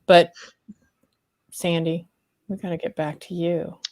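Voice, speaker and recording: A woman's voice: fairly low pitch, little pitch movement, slow, darkish tone, dry recording. A woman hosting a talk show, casual and friendly, with frequent fillers over a video call. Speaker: host.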